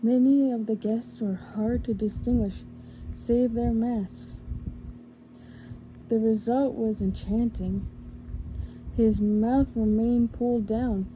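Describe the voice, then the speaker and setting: A woman reading a storybook in a gentle ASMR style, with slow, deliberate phrasing. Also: a little high, fairly even intonation, poor audio. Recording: faint background noise.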